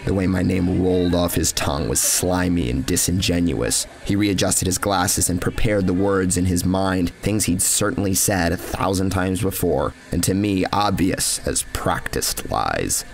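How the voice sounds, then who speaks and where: A man with a low-pitched voice narrates first-person horror fiction. His delivery is measured and dramatic, with a cynical edge to the storytelling. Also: vocal fry, a little hiss or hum.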